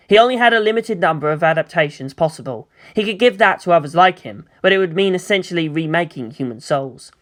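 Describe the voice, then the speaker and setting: A woman narrates fiction in a dramatic style. Her voice sits a little low for a woman, with wide, lively swings of intonation. The delivery is scripted storytelling.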